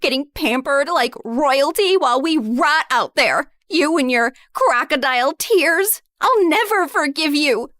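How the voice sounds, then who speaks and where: A woman's voice: high voice, lively intonation, a little slow, few pauses, fairly bright tone, dry recording, clean studio sound, voice pushed up. A woman doing a sneering, spiteful young woman. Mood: scornful. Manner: sneering, venomous, accusing. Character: spiteful, bitter young woman, cruel and scornful.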